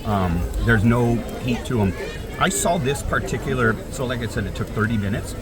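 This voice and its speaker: A soft-spoken man chatting casually to camera as a vlogger, rambling and laughing softly while kneeling in a crowded outdoor park. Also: mid-range voice, lively intonation, normal speed. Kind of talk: casual vlog chat. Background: loud crowd noise and park music.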